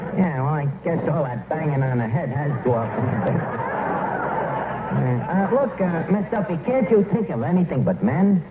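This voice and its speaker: An actor playing a boastful, wisecracking tavern manager with a New York accent and slangy, hesitant delivery in a 1940s radio comedy. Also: male voice, a little high, wildly swooping pitch, unhurried, a loud crowd, heavily acted. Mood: boastful, sheepish. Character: boastful, wisecracking tavern manager who mangles his grammar. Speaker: tavern manager and comic lead. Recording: noisy, tinny 1940s radio recording, roomy.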